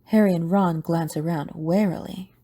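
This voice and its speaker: A woman's voice: on the low side, fairly even intonation, moderate pace, warm tone. A woman narrating a scripted audio drama.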